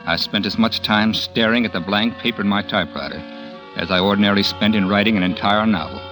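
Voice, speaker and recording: A man's voice: lowish voice, quick pace, close to an everyday voice, low-quality recording. A man playing a bitter, self-absorbed novelist who narrates and talks in an old radio drama, with a brooding tone. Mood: cynical. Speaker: struggling novelist narrating his story. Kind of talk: 1940s radio drama acting. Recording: old radio broadcast with music bed.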